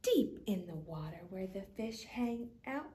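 A woman in her thirties reading a picture book aloud to children, animated and rhythmic. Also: medium pitch, a little slow. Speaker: school storytime reader. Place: indoors in front of a large aquarium screen.

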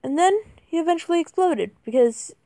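High-voiced chatty woman gamer, voice cracking. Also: lively intonation, a little fast, dark tone, no room sound. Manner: chatty, says "bro" and "like" constantly; self-deprecating, easily flustered. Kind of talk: casual mobile gameplay commentary.

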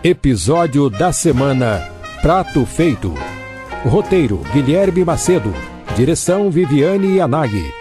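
A man's voice: on the high side, very animated, music in the background. A man reading the closing credits as a formal radio announcer. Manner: formal, measured.

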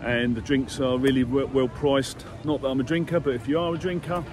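A man's voice: mid-range voice, steady tone, fairly quick, noisy background. A middle-aged man talking to a handheld camera outdoors at a ski resort, a chatty, upbeat guide giving facts and prices. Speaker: travel vlogger. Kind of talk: casual travel vlog to camera. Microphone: action camera held at arm's length.

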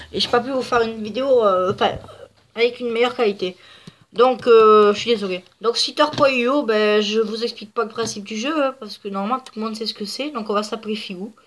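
Nasal-sounding woman with a cold. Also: on the high side. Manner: chatty, rambling, many fillers. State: stuffy nose from a cold. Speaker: gaming video creator. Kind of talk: casual gameplay commentary.

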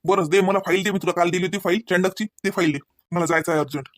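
A man's voice: high-pitched, fairly even intonation, fast talker, fairly bright tone, no room sound. A man playing an exasperated, sarcastic, work-minded husband in a comedy cartoon, with a Varhadi Marathi accent and a dry, impatient manner. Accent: Varhadi Marathi from Vidarbha.

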